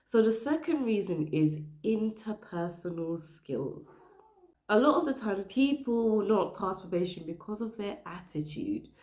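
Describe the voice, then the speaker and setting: A woman giving career advice to the camera, her voice croaky while she recovers from the flu, talking earnestly and stressing her points. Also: mid-range voice, expressive, unhurried, a little mellow, reverberant. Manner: earnest, emphatic, stressing points with "okay?" and "you know". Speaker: career advice channel host. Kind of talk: direct-to-camera advice talk.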